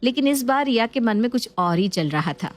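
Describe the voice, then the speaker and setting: A woman narrating a family story in a steady, explanatory way. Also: on the high side, brisk.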